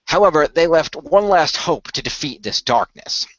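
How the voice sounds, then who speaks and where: A man playing a courteous, earnest keeper of a magical secret in a radio play, speaking in a formal, measured storyteller's way. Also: fairly high pitch, lively intonation, a bit bright, no room sound, highs cut off, voice pushed up. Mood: solemn storytelling.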